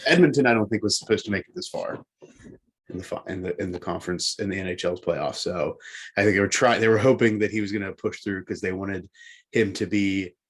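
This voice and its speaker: Lowish-voiced man talking sports, thinking aloud. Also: a little fast, husky. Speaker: co-host of a sports talk show. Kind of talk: casual opinionated sports discussion.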